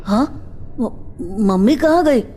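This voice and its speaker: Woman acting an anxious little boy, high, halting. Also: animated, warm tone, husky, faint music behind, exaggerated voice. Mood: puzzled, innocent. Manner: hesitant, stammering, pleading. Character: small boy, innocent, anxious, missing his mother. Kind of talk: horror audio drama acting.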